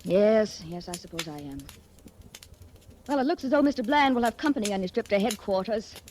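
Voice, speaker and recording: A woman's voice: mid-range voice, lively intonation, a little fast, a little mellow, natural voice. An actress playing a haughty, scheming, icily polite married woman in a 1940s American radio drama. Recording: noisy old 1940s radio recording.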